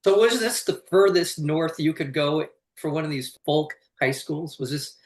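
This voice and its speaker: A woman's voice: low voice, lively intonation, moderate pace, darkish tone. A woman hosting a podcast interview in a chatty way, thinking aloud as she asks, with a roomy echo on her voice. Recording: roomy, echoing voice.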